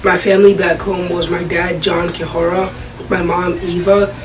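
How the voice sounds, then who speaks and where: A woman's voice: on the low side, little pitch movement, moderate pace. A young woman gives a personal testimonial about herself. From Kenya. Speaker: church member giving testimonial. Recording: distant mic, faint music.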